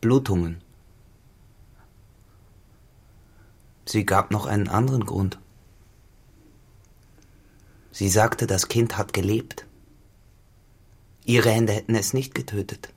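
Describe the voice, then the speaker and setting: Low, husky, brooding man. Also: moderate pace. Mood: grave, accusing. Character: cynical, dissolute farmhand turned tenant, brooding and insistent. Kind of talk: literary German radio drama acting.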